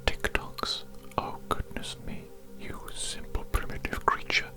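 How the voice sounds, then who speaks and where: A performer voicing a condescending alien doctor in a breathy ASMR whisper, soothing, patronizing and repetitive during a medical roleplay. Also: measured pace, natural voice. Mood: gently condescending. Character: condescending, politely amused alien doctor giving a hearing exam.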